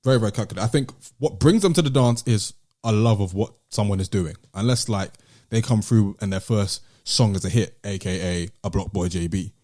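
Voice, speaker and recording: A man's voice: on the low side, fairly quick, mellow. A British man hosting a podcast, debating music casually and emphatically, repeating himself to drive points home. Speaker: podcast host.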